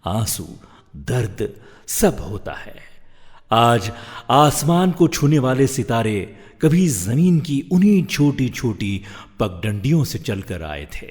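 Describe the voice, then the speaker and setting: A middle-aged man reading scripted voice-over in a measured, dramatic way. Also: mid-range voice, darkish tone, slightly rough, big-room sound. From India. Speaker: professional voice-over artist. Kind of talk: scripted voice-over for commercials and narration.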